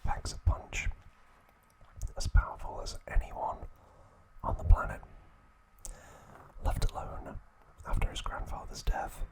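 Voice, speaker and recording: Male ASMR host. Unvoiced, breathy whisper throughout, very unhurried phrasing with pauses, close on the mic. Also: low-quality recording. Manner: slow, hushed, deliberate phrasing with pauses. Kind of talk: ASMR, whispered trivia and show-and-tell.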